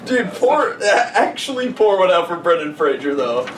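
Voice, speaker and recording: A man's voice: sits high, sing-song, normal speed. A young man co-hosting a podcast, riffing with friends in a goofy, profane, casual way. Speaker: podcast co-host. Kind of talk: casual chat among friends. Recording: roomy, distant mic, faint noise.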